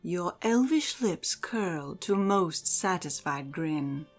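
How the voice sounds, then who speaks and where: A woman giving a measured, theatrical reading as narrator of a fantasy audio drama. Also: fairly low pitch, animated, faint music behind. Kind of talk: dramatic second-person fantasy story narration.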